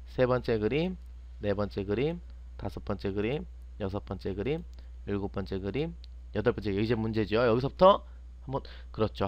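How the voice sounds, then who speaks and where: Lively-pitched male tutorial instructor, slightly muffled. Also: no echo. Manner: asks and answers own questions. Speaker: teacher, online programming instructor. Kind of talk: step-by-step screen tutorial, unscripted.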